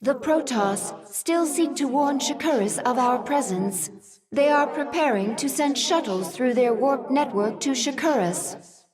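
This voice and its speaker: An actress playing a loyal alien advisor who reports briskly and dutifully. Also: female voice, high-pitched, lively intonation, a crowd in the background.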